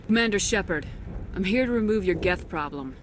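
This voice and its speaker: A woman acting as a resolute space military commander in a video game. She talks fast and directly at a middle pitch, with faint noise behind her. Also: lively intonation, close to an everyday voice. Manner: brisk, direct.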